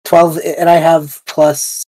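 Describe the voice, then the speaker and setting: A woman playing a tabletop roleplaying game, speaking casually and haltingly in character. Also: low-pitched, flat delivery, mellow, slightly rough, rough recording.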